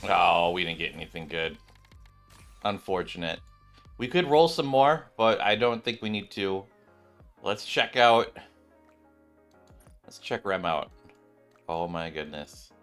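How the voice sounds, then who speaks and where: A man with a fairly low voice gives casual gameplay commentary as a channel host. He is excitable and repetitive in his phrasing, and music plays behind him. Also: slow, long pauses. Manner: excitable, exclamatory, repeats phrases.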